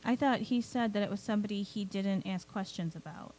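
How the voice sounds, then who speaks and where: A woman's voice: mid-range voice, a little fast, a little mellow, a little hiss or hum. A woman in casual chat as a co-host giving feedback, with informal, tentative phrasing. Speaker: co-host critiquing a chapter.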